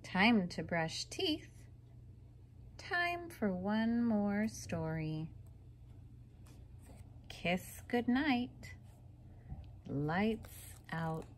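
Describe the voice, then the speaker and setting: A woman reading a children's picture book aloud like a teacher, deliberately, pausing between phrases and putting questions to her young listeners. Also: mid-range voice, lively intonation, a little hiss or hum.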